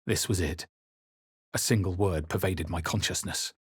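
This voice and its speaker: Very deep, husky brooding adventurer. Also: male voice, normal speed, no echo. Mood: grave, ominous. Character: grave, brooding male adventurer hero of a fantasy game. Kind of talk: dramatic scripted fantasy game narration and character lines.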